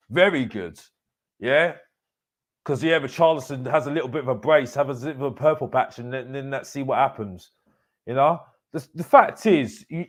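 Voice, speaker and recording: A man with a mid-pitched voice talks as the host of a football fan stream. His delivery is casual but emphatic, with repeated phrases for weight. He often breaks his sentences into short, halting pieces. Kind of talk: live fan commentary, casual opinion rant.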